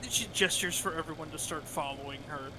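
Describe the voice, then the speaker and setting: A man's voice: on the high side, fairly quick, noisy background. A man playing a tabletop game, chatting casually in a loose, sweary, filler-heavy way. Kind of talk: casual improvised game chat.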